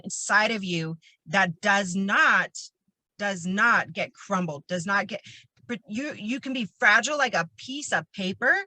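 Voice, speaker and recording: A woman's voice: mid-range voice, bright, forceful tone, no room sound. A woman hosting a motivational podcast conversation, who talks emphatically and repeats her points for stress. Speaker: podcast host and retreat coach.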